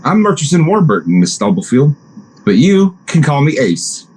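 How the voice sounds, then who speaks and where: A brash war-veteran buddy voiced by an actor, cocky and teasing, his voice riding a little above the usual male pitch. He swings widely up and down as he flirts and ribs his pal. The delivery is pure 1940s radio melodrama. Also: big pitch swings, normal speed, a little mellow, slight background noise, low-quality recording. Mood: flirty.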